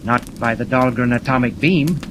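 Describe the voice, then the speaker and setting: A man performs a learned inventor in an old radio adventure. He is formal and measured, with portentous gravity and a mid-range voice. Clear noise runs through the vintage 1940s recording. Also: mid-range voice, close to an everyday voice. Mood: calm, portentous. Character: courteous, grave male scientist inventor of doomsday weapon. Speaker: scientist. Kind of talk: 1940s radio serial acting.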